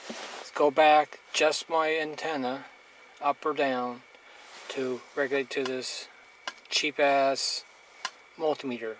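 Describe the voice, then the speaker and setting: A clearly noisy amateur recording with clicks carries a man's voice at an average pitch. He talks casually, like a hobbyist showing his gear hands-on. His manner is blunt and plain-spoken, with some mild crudeness. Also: a bit bright. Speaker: hobbyist reviewer demonstrating radio gear.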